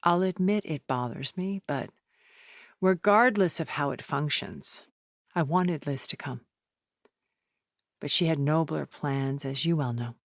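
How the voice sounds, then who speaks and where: An actress playing a poised, cultured, wealthy woman in a video game, speaking in a measured, formal, refined way. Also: deep voice, dry recording, clean studio sound. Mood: earnest, regretful. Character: poised, cultured, wealthy woman, a thousand years old, sounding middle-aged. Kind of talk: video game character dialogue.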